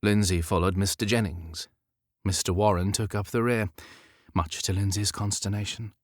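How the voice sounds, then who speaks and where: A man reading a historical romance audiobook in a measured, expressive storytelling manner. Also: on the low side, dry recording, clean studio sound. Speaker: audiobook narrator. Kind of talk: scripted literary narration of a historical romance.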